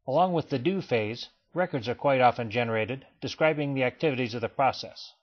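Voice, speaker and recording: A man narrates a training slideshow in a steady, explanatory manner, his voice sitting at an ordinary middle pitch. The recording sounds slightly dull and lo-fi, like a plain home voice-over. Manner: measured, even explanatory delivery. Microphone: voice-over, not recorded in pictured place.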